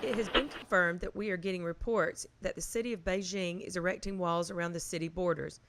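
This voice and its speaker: A woman playing a formal, measured radio news announcer reading bulletins from a collapsing world in an audio drama. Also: lowish voice, fairly even intonation, fairly bright tone. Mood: grave, official.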